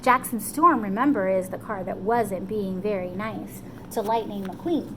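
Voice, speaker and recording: A woman telling a story to children in an animated, encouraging way, often checking in with her listeners. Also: medium pitch, a little slow, background noise. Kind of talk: animated children's storytime retelling, loosely paraphrased.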